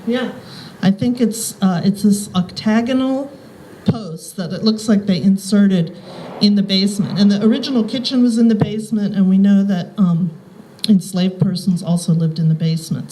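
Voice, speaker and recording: A woman's voice: medium pitch, warm tone. A woman speaking informally to visitors as an architectural historian, in a conversational manner at a live house tour. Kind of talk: informal public history talk. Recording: clearly noisy live event recording.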